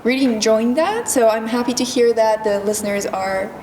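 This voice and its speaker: A young woman with a Japanese accent, a singer answering interview questions softly and thoughtfully, with many fillers. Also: a little high, far from the mic. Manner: soft, thoughtful, slow with many fillers and giggles. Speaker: singer-songwriter guest. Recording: echoey convention room with noise.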